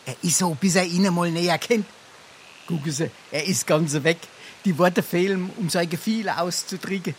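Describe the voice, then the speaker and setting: An actor playing a pompous, wily down-at-heel braggart in a dialect stage comedy, with a Darmstadt Hessian accent and grandiloquent manner. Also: male voice, high-pitched, moderate pace, a little hiss or hum, close to an everyday voice. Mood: wheedling. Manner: grandiloquent, blustering. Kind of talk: theatrical dialect comedy acting.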